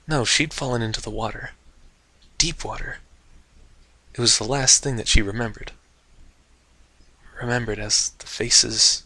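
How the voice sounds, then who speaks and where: Guy doing dramatic story narration, low bright voice, very dry close sound. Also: no room sound. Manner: theatrical. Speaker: host and narrator of fan fiction readings.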